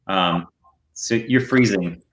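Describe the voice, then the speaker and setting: A man's voice: low-pitched, takes it slowly, fairly bright tone, poor audio. A man hosting an interview over a video call, asking questions in a casual, rambling way. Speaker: interview host. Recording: video call with faint noise.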